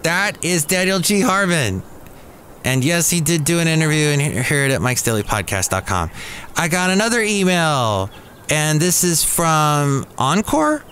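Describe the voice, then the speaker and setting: A man's voice: a little high, very animated, measured pace, fairly bright tone, a little hiss or hum. A man hosting a podcast in a café, chatting casually as he introduces new songs and reads emails aloud. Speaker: podcast host.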